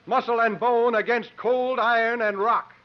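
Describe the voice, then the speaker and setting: A man's voice: extremely high pitch, fairly even intonation, unhurried. An actor playing a confident, articulate travelling strongman and sleuth in a 1950s radio drama, with formal theatrical phrasing. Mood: proclaiming. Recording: muffled vintage 1950s radio recording.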